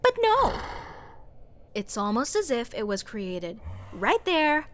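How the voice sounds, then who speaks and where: A woman's voice: fairly high pitch, wildly swooping pitch, fairly bright tone, heavily acted. A woman playing a snarky, exasperated sorceress adventurer in a fantasy comedy audio roleplay, sarcastic and nitpicky, stressing and stretching her words. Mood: incredulous. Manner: sarcastic, scoffing, emphatic with drawn-out words.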